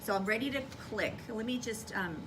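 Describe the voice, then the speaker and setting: A woman's voice: on the high side, fairly quick. A woman teacher talking to children in a classroom, giving an instructive demonstration and thinking aloud step by step. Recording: noisy classroom, distant microphone. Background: room noise, children's voices.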